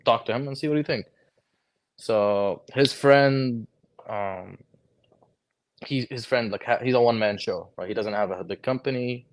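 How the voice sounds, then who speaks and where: Young man from Lebanon, low voice, laid-back storyteller, casual talk with lots of "bro". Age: 20s. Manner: relaxed storytelling, lots of "bro" and "like", acts out quoted conversations. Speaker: guest, HVAC technician. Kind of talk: casual podcast chat.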